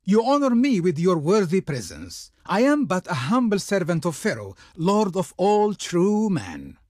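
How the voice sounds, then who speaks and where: Pompous, swooping, high-voiced Egyptian envoy. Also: male voice, huge pitch swings, unhurried, a little mellow, no echo, clean studio sound, heavily acted. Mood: ceremonious, welcoming. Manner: pompous, ceremonious, declamatory. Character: pompous, haughty, fawning male Egyptian envoy of Pharaoh. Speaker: diplomat character in strategy game. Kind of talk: theatrical game voice acting.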